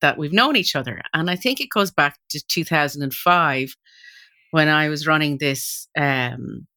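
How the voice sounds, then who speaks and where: A woman's voice: low-pitched, lively intonation, normal speed, pushed and bright. A woman hosting a marketing podcast, introducing the show and chatting in a conversational interview style.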